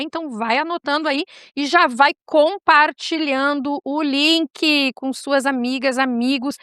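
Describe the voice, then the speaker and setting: A woman's voice: high-pitched, pushed and bright, dry recording, studio quality. A woman with a gaúcho accent from southern Brazil hosting a talk show, chatting casually, digressing and speaking straight to her viewers. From Rio Grande do Sul, Brazil.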